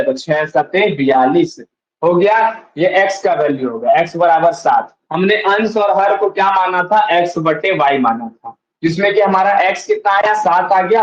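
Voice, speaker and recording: A man's voice: on the high side, echoey room, lo-fi sound. A male maths teacher explaining a lesson step by step, asking questions and answering them himself and repeating key points. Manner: asks and answers his own questions, repeats steps.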